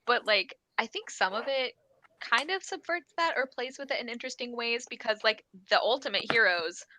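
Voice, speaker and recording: A woman talking casually about books as a guest, in an animated, giggly manner. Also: fairly high pitch, normal speed. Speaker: guest panelist. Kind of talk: casual book discussion. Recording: clear background noise, clicks.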